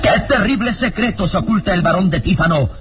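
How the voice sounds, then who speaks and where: A man's voice: sits high, animated, brisk. A man narrating a radio drama in an ominous storytelling manner. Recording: radio drama with music bed.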